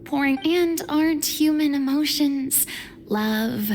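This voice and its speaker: A woman's voice: sits high, slow pace, a little mellow, long reverb tail. A woman voicing a proud, grandly confident young female stage star in a video game, with dramatic, haughty delivery.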